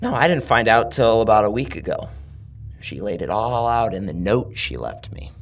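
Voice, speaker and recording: A man voicing a sadistic, grief-stricken masked torturer in a horror audio story, slow and menacing with bursts of rage, husky and gravelly. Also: lowish voice, very animated, recorded from a distance. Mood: grim, bitter.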